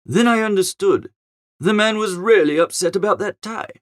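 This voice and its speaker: A man playing a flustered, foppish young English gentleman who narrates a comic audiobook in a chatty, melodramatic, exasperated way. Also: high-pitched, moderate pace, no room sound, clean studio sound, close to an everyday voice. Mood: agitated.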